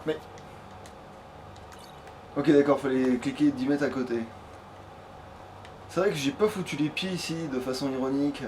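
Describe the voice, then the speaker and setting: A man's voice: medium pitch, normal speed, long pauses, a little mellow. A man giving casual let's play commentary, talking crudely and swearing heavily. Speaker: let's player. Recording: faint background noise.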